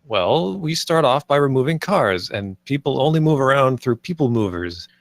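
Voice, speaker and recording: A man's voice: medium pitch, very animated, moderate pace, dry recording. A man playing an earnest, stubborn theme-park designer in a screenplay table read, speaking insistently and pleadingly.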